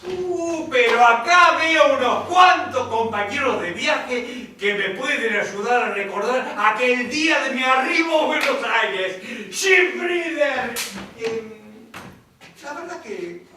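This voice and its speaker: Man as a high-voiced immigrant, halting, reminiscing; roomy far stage sound. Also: expressive, heavily acted. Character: male immigrant recalling his arrival by ship. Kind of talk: live stage performance. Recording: echoey theatre from far off with noise.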